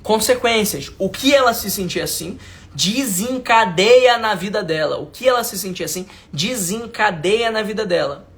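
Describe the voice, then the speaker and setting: Emphatic high-voiced Brazilian man teaching. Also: lively intonation, normal speed, a bit bright. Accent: Brazilian Portuguese. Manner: emphatic, repeats lines, asks the audience if it makes sense; informal slang, talks to viewers directly. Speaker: mentor teaching a class. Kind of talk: live online class lecture.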